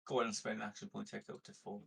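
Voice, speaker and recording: A man's voice: on the low side, level tone, racing, creaky, fairly bright tone, slightly rough. A man playing a tabletop roleplaying game, talking casually and negotiating with the others.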